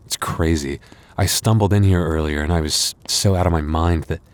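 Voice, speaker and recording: A man playing a wounded, tender and protective werewolf boyfriend in an intimate ASMR roleplay, speaking in a halting, softly earnest, weary way. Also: low voice, lightly acted. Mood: soft, amused. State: weary, hurting.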